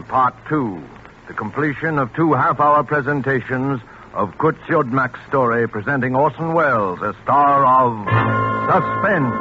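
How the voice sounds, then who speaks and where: A man announcing a radio show in a crisp, formal 1940s style. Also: medium pitch, dull audio. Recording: band-limited 1940s radio over music.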